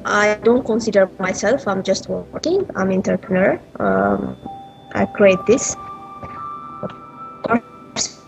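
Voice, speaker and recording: A woman's voice: mid-range voice, creaky, low-quality recording. A woman from Ethiopia in her thirties, answering interview questions earnestly with frequent hesitations, over an earbud headset on a video call. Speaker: entrepreneur interviewee. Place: small indoor room.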